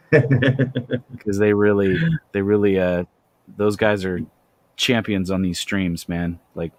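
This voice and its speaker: Brief: relaxed male guest, a bit low-pitched, quick agreeable replies. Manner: easygoing, short agreeing replies. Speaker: guest comic artist. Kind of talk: casual chat.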